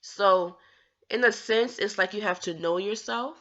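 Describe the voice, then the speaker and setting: A woman's voice: mid-range voice, measured pace, a bit bright, dull audio. A woman vlogger talking earnestly about her own stutter, with occasional stammered restarts as she encourages others. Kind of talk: personal talk to camera.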